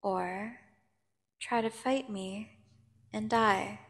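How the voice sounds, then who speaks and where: A woman playing a menacing, seductive vampire prisoner in an ASMR audio drama, taunting and condescending with theatrical pauses. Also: mid-range voice. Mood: threatening, seductive. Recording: long cavernous reverb.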